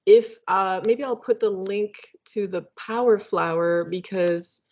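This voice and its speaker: A woman presenting in a webinar, explaining ideas thoughtfully with frequent fillers and pauses. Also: mid-range voice, a little mellow. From Canada. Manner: thoughtful, hesitant, many fillers. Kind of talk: academic webinar talk. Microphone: video call. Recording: muffled lo-fi video call audio.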